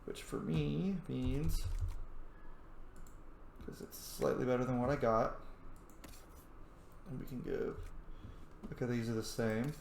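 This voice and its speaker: A man's voice: medium pitch, slow, gravelly, long pauses. A man giving casual commentary as he plays a game, muttering to himself in short fragments. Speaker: gamer narrating a roleplay playthrough. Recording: echoey room, faint background noise.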